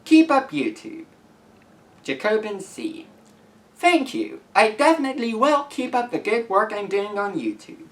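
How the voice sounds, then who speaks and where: A young man of about eighteen reading messages aloud and commenting to camera as a YouTuber, with an earnest, deliberate, halting delivery. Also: high voice, big pitch swings, fairly bright tone, far from the mic. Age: young adult, about 18.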